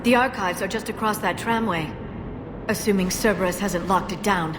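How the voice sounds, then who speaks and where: A woman playing an earnest, soft-spoken alien scientist in a video game, measured and precise but full of self-doubt. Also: medium pitch, noisy background, close to an everyday voice. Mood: earnest, explaining. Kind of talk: dramatic video game voice acting.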